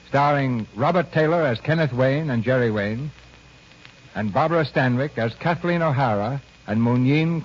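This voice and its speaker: A man hosting a classic radio drama, announcing and narrating from a script in a polished, measured way. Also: mid-range voice. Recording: dull old radio broadcast. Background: music.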